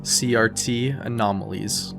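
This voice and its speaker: Male narrator for an explainer. Scripted but conversational and informal, soft music bed behind. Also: lowish voice, steady tone, measured pace, creaky. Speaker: video essay narrator.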